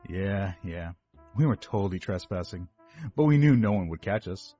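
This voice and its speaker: An actor playing an overweight eighteen-year-old rich kid giving a rambling, defensive first-person confession to police. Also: male voice, sits low, animated, moderate pace, warm tone, muffled recording. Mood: rambling, confiding. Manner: rambling, self-correcting, defensive. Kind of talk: dramatic first-person monologue reading. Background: background music.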